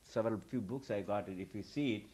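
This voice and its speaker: A middle-aged man, a spiritual teacher answering questions from an audience in a halting, repetitive way. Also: fairly low pitch, a little mellow, husky.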